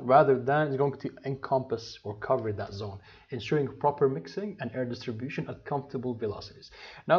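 A man's voice: medium pitch, muffled recording. A man in his thirties teaching an engineering course over slides, explaining step by step with restarts and fillers. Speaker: HVAC instructor. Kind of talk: slide lecture.

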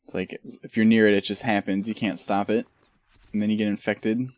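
A man's voice: on the low side, level tone, fairly quick, no room sound. Joking man chatting on a game stream. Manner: joking, rambling, chatty asides. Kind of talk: casual chat while gaming.

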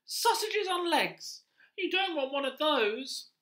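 A woman voicing a pushy, chattering little rat in a pet shop, with a high squeaky voice that insists it is the best pet. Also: big pitch swings, slow pace, fairly bright tone, echoey room, a little hiss or hum, exaggerated voice. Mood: cheeky, pushy.

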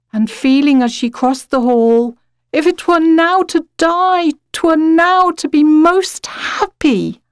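A woman with a British accent giving an expressive, reflective dramatic reading of literary fiction as a narrator. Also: fairly high pitch, dry recording, clean studio sound.

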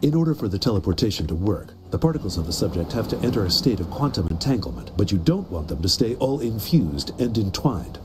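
An actor voicing a gruff, brainy, dead serious male superhero for a cartoon video game, terse and deadpan. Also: lowish voice, a little fast, few pauses, over music. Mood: explanatory.

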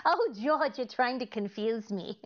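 An actress playing a sweetly scatterbrained, innocent comedian wife in a 1940s-style radio comedy, earnestly explaining nonsense. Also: female voice, fairly high pitch, lively intonation, unhurried, fairly bright tone, highs cut off. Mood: guileless. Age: middle-aged.

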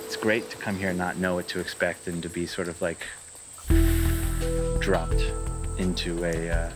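A young man with a very low voice speaks thoughtfully in a flat tone. He is interviewed outdoors among trees. Loud music and crickets sound behind him. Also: normal speed. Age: young adult. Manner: thoughtful, with fillers. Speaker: resident artist.

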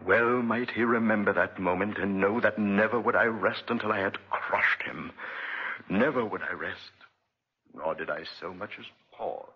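A man's voice: fairly low pitch, moderate pace, husky. An actor playing a vengeful, grief-maddened man in 1940s radio drama style, grave and theatrical. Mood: grim narrating. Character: vengeful, grief-maddened man, escaped debtor turned obsessive avenger. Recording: muffled, dull 1940s radio recording.

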